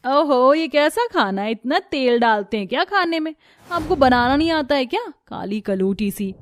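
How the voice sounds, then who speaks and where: A woman's voice: sits high, animated, normal speed, slight background noise, voice pushed up. A woman voicing a spoiled young sister-in-law who is bossy, snippy and mocking in a family story. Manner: snippy, demanding.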